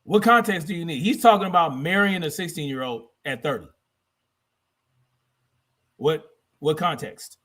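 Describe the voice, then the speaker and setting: A man's voice: a little high, lively intonation, normal speed, long pauses, fairly bright tone. A man hosting a livestream in a combative, blunt, profane way, repeating phrases for emphasis as he reacts to clips and chat. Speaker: livestream host.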